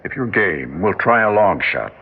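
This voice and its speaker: An actor playing a blunt, street-wise New York police detective in a 1950s radio drama. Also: male voice, low voice, big pitch swings, normal speed, a little hiss or hum. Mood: reassuring. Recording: dull lo-fi old radio recording.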